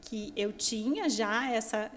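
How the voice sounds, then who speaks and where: A woman missionary guest in a casual interview, speaking softly and reflectively with many fillers. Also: on the high side, slow pace, slight background noise.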